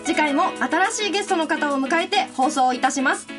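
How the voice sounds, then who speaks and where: Woman radio host, high voice, scripted show talk, music clearly behind her. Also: brisk.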